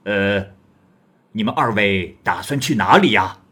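A man voicing a booming red-bearded wanderer. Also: lively intonation, measured pace, slightly rough, full character voice. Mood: hearty. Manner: loud, booming, blunt. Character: bold, hearty red-bearded male wanderer, middle-aged.

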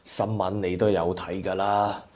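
Man as anxious older father, Cantonese accent, low, unhurried, caring and coaxing, radio drama. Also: little pitch movement, a little mellow. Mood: caring, fussing. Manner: fussing, coaxing.

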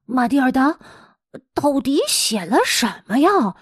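High-voiced woman telling stories to children. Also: measured pace, breathy edge, no room sound, clean studio sound. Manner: dramatic, animated delivery with rhetorical questions addressed to young listeners. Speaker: teacher hosting a children's story podcast. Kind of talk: expressive storytelling for children.

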